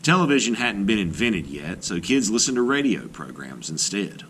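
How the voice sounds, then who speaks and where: A man reading a children's book aloud as an art teacher, in an expressive storytelling style with deliberate pauses. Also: fairly low pitch, slight background noise, low-quality recording. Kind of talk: scripted narration, children's read-aloud.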